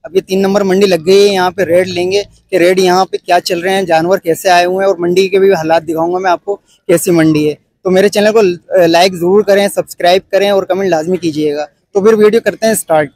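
A man in his thirties from Pakistan with a Karachi Urdu accent, filming a casual walkthrough of an outdoor cattle market on his phone and pressing sellers for lower prices. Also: steady tone, a little fast, few pauses, darkish tone, dry recording. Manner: chatty, pushes for a lower price. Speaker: vlogger.

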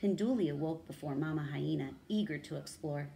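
A woman in her thirties reading a children's story aloud and pausing to explain words, thinking aloud like a teacher. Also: low-pitched, creaky, very noisy. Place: small room at home, indoors.

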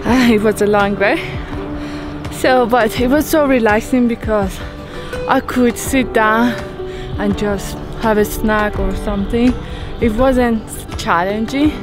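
Travel vlogger, woman, outdoors on a trail, slow and upbeat, music bed behind. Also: fairly high pitch. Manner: enthusiastic, exclaims at views. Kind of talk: casual vlog narration while hiking.

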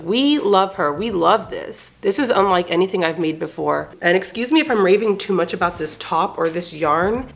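A young woman in her twenties making a casual, chatty crafting vlog at home, enthusiastic and full of fillers. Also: on the low side. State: head cold, nasal. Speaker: crochet vlogger. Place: bedroom and living room at home.

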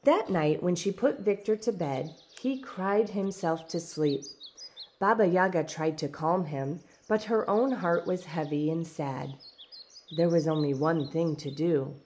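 A woman reading a storybook aloud in expressive, deliberate, dramatic narration. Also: fairly low pitch. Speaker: storybook reader. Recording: background noise with birdsong.

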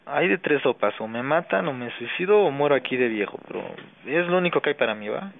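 A Mexican man talking informally on a phone call, slangy, halting and resigned. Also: mid-range voice, expressive, creaky. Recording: noisy, narrow-band phone call.

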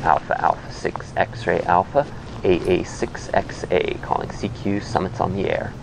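A man's voice: low-pitched, steady tone, a little slow, vocal fry, noisy background. An American man working as an amateur radio operator, making repetitive, procedural calls with spelled-out call signs. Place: outdoors on a hilltop.

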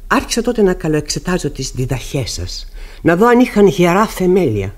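An actress playing a bitter, haunted middle-aged widow in a classic radio drama, with an emphatic, theatrical delivery. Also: female voice, lowish voice, big pitch swings. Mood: challenging.

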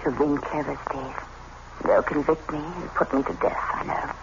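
A woman playing a condemned wife, resigned and coldly pitying, speaking in a quiet, measured way in a radio play. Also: deep voice, vocal fry. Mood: resigned, bitter. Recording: noisy, dull old radio recording.

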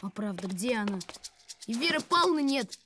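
A woman's voice: a little high, lively intonation, moderate pace, noisy background, lightly acted. A woman voicing a sensible, caring young boy in a cartoon, in an earnest manner. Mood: searching, worried. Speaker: voice actor. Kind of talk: animated cartoon acting.

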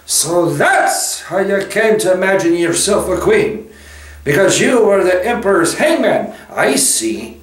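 A man's voice: sits high, big pitch swings, unhurried, roomy sound, far from the mic, voice pushed up, low-quality recording. A man voicing a blunt, indignant talking beaver in a storybook, with a sputtering, scornful manner.

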